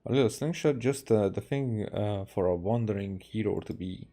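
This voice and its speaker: A man from Hungary, a native Hungarian speaker, streaming a game with casual, halting commentary. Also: fairly low pitch, warm tone. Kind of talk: casual game commentary, reading dialogue aloud. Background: game music.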